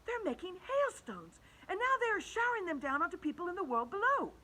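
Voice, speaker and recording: Woman reading as a hushed, calm young boy. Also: fairly high pitch, measured pace, slight background noise, pitched above the performer's own voice, heavily acted. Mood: excited whisper. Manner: soft and hushed. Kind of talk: storybook read-aloud.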